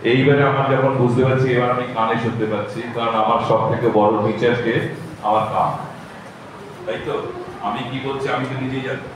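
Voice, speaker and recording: A man's voice: mid-range voice, distant mic. Bengali guy from west bengal teaching a workshop, bossy and repeats himself, super echoey noisy hall. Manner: directive, repetitive. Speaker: recitation workshop trainer. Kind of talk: hands-on microphone instruction to a class.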